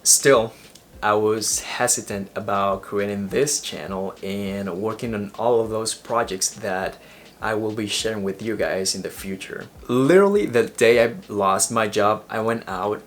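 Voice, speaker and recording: A man's voice: fairly low pitch, a little slow, a little hiss or hum. A young man from Ecuador with an Ecuadorian Spanish accent, talking earnestly and motivationally in a personal vlog, now and then restarting a phrase. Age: young adult. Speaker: vlogger.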